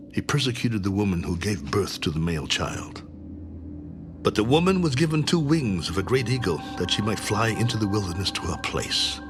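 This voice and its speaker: A man narrating scripture as a drama, solemnly and with deliberate phrasing, over loud music. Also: fairly low pitch.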